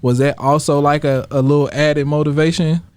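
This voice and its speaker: A man's voice: fairly high pitch, flat delivery, normal speed, darkish tone, smooth, dry recording. A man co-hosting a radio podcast, asking the guest questions in a laid-back, slangy way. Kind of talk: casual interview questions.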